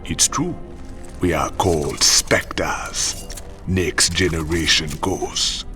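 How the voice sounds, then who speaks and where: An actor playing a sly, menacing psychic assassin and pirate in a video game cutscene, with a cool, taunting manner and a breathy growl. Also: male voice, sits low, bright, forceful tone, soft background music, full character voice. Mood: guarded, defiant. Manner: cool, taunting, drawn-out familiar address.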